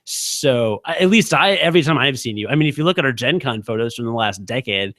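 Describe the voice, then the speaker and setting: A man in his thirties co-hosting a podcast, chatting casually in a quick, halting way full of fillers. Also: medium pitch, lively intonation, a bit bright, no echo. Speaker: podcast co-host. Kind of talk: casual chat.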